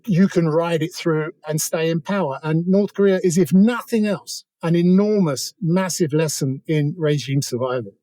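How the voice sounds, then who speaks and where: A man with a fairly high voice and a very soft, mellow tone. He talks as an interview guest, explaining his points in a casual, discursive way. The recording is close and dry. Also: no echo.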